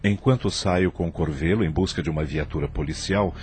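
A man playing a calm, commanding spirit who leads a rescue team in a radio drama. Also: deep voice, brisk, soft background music, close to an everyday voice. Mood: calm authority. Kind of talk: radio soap opera acting.

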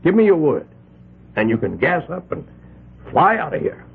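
An actor playing a domineering wealthy mill owner who leads a vigilante group, in a 1970s radio drama, with a husky, gravelly voice. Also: male voice, on the high side, sing-song, normal speed, full character voice. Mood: pleading. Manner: blustering, swings from soft to loud. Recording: narrow-band old radio broadcast.

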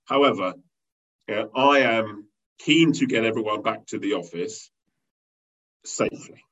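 A man leading a company, talking through an interview in a rambling way with many fillers and restarts, with a loud voice. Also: fairly low pitch, unhurried. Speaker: company leader interviewed as guest. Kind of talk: conversational business interview. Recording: dull lo-fi sound.

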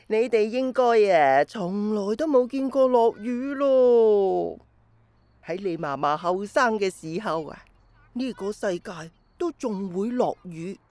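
A woman's voice: mid-range voice, big pitch swings, darkish tone, no room sound. An actress playing an elderly grandmother in a radio drama, telling stories to a small child in Hong Kong Cantonese with nostalgic, playful fondness. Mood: fond, amused. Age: older. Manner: slow, wistful storytelling to a small child.

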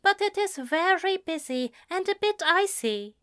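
Woman voicing a calm uncle, measured, gentle, slow, lively pitch. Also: exaggerated voice. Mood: gentle firm. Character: patient, measured middle-aged male guardian.

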